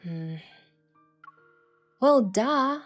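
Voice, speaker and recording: Wistful young hunter heroine. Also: female voice, a little high, animated, very slow, soft background music, full character voice. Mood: playful, earnest. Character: young female hunter heroine, earnest, wistful, playfully cheeky. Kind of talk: first-person story narration and game dialogue.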